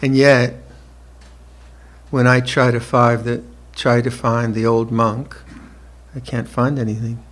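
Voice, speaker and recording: A man's voice: mid-range voice, level tone. Reflective man in his seventies. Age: 70s. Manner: slow, reflective, with soft laughs and restarts. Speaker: monk teacher. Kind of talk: evening dharma talk. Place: retreat hall with listeners.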